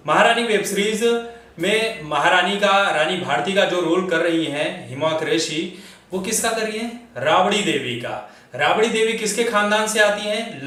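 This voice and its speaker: A man with a fairly high voice delivers opinionated commentary in a very echoey room, some distance from the microphone. He speaks straight to his listeners, firing rhetorical questions with indignation and sarcasm. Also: fairly bright tone. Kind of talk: opinionated film and political commentary to camera.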